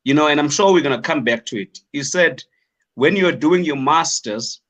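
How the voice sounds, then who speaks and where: A man's voice: fairly high pitch, moderate pace, fairly bright tone. A man hosting a podcast, asking encouraging questions and repeating words as he searches for them. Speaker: podcast host. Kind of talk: interview questions.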